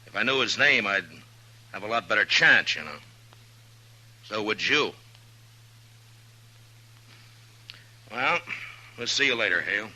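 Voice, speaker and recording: US marshal in old radio western. American, deep and piercingly forceful, coolly menacing, goads his opponent. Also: lively intonation, moderate pace, low-quality recording. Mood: coolly pressing. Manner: blunt, commanding, coolly goading. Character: tough, unhurried frontier marshal, coolly menacing.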